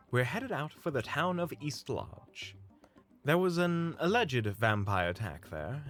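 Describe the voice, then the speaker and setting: A man playing a courteous, calm leader of monster hunters in an audio roleplay, speaking politely and precisely with a caring manner. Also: mid-range voice, voice pushed up. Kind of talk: audio roleplay acting addressed to the listener.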